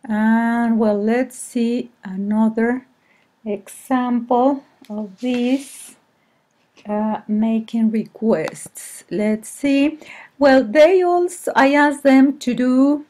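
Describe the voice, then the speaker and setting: A woman teacher with a Mexican accent, presenting to colleagues in a hesitant, halting way and often correcting herself. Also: on the high side, a little mellow. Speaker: English teacher. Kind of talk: screen-recorded presentation to colleagues.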